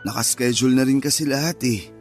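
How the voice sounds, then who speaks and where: A man with a Filipino accent playing a gentle, caring husband in a radio drama, reassuring and coaxing. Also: medium pitch, fast talker, soft background music, close to an everyday voice. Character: gentle, caring husband looking after his grieving wife.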